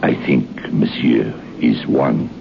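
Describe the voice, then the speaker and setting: A sly French head waiter. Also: male voice, a bass voice, steady tone, takes it slowly, vocal fry, heavily acted. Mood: insinuating. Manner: deferential yet cryptic, insinuating. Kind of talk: 1940s radio acting. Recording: muffled old radio transcription with hiss.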